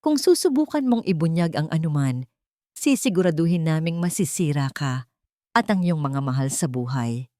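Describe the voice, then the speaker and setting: A woman's voice: low voice, animated, moderate pace, mellow, no echo, studio quality. A woman voicing a threatening anonymous caller in an audio drama. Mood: menacing.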